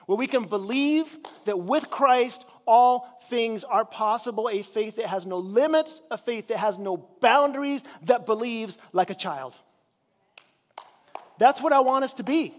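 A man's voice: extremely high pitch, lively intonation, measured pace, long reverb tail. A man from Chile preaching a sermon in a conversational way, drawing out key words for emphasis. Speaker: pastor. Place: church sanctuary.

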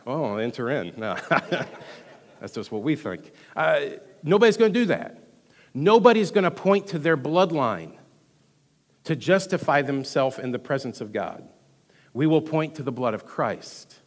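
A man with a Texan accent preaching a sermon as pastor, rushing through some lines and dropping to hushed asides between louder, emphatic ones. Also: mid-range voice. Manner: animated preaching, swings from loud emphasis to hushed asides, quick delivery. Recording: long hall reverb.

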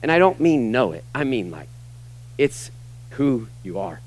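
A middle-aged American man preaching a sermon to a church congregation, emphatic, moving between loud, punchy lines and quiet, low asides. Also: sing-song, a little slow. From United States. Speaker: pastor. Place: church sanctuary.

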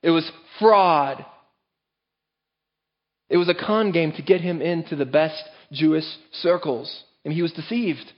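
A man's voice: on the high side, measured pace, highs cut off. A man pastor preaching a sermon to his church in an earnest, emphatic way.

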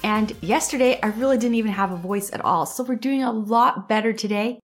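A woman hosting a church history podcast, narrating in a steady storytelling way, a little hoarse from a cold. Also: fairly high pitch, a little fast, soft background music.

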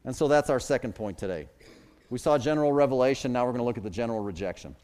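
A man's voice: mid-range voice, rapid-fire, a little mellow. A middle-aged man from the Chicago suburbs preaching a sermon as pastor, with emphatic swells and drops in his delivery. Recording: long hall reverb.

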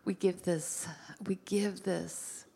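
A woman leading a soft, earnest spoken prayer in church. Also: fairly low pitch, fairly even intonation, vocal fry, slightly rough. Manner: slow, soft, earnest praying. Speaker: pastor's wife leading prayer.

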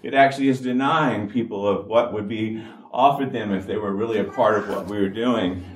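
A man, giving an informal talk to a church congregation in a flat, even-toned delivery. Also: on the low side, fairly quick. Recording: echoey hall from far off with noise.

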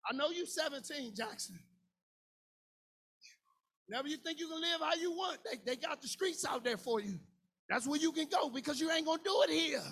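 A man in his forties preaching a sermon, impassioned and colloquial, swinging between shouted lines and a half-sung cadence. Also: very high-pitched. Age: 40s. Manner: colloquial, informal grammar.

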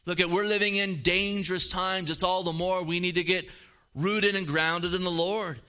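Pastor guy in his 50s preaching, pretty high voice, really bright and clear, keeps repeating stuff for emphasis. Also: fairly even intonation, normal speed. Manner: earnest, repetitive preaching with building emphasis. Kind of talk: sermon.

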